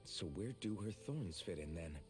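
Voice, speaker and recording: Casting: determined young anime-game hero, deep voice, sincere, plain-spoken, faint music behind. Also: male voice, expressive, normal speed. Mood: puzzled, serious. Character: earnest, determined young male hero, protective. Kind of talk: anime video game voice acting.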